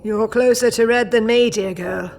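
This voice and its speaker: A woman's voice: fairly high pitch, fairly even intonation, normal speed, a little mellow, a little hiss or hum, lightly acted. A woman playing a proud, old-fashioned British mother and grandmother-to-be, formal and stern yet doting, in an audio drama.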